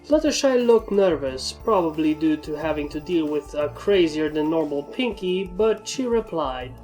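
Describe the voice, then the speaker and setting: Lower-voiced woman reading a story. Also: lively intonation, moderate pace, a little mellow. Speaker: narrator. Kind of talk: scripted story narration. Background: background music.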